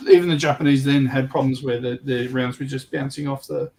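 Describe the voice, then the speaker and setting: A man's voice: medium pitch, fairly even intonation, brisk, darkish tone, a little hiss or hum. A man chatting casually as a livestream panelist, in a tentative, loosely phrased way. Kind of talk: casual hobby chat.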